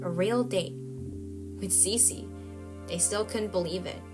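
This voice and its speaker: A woman's voice: mid-range voice, slow. Woman narrating a story over music. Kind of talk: scripted audio drama narration.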